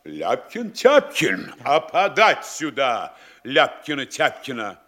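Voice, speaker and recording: An actor playing an anxious, scheming town mayor with a husky voice, in a stage radio play. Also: male voice, mid-range voice, huge pitch swings, slow, a bit bright, exaggerated voice. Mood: fretful. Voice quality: husky, fretful, conspiratorial.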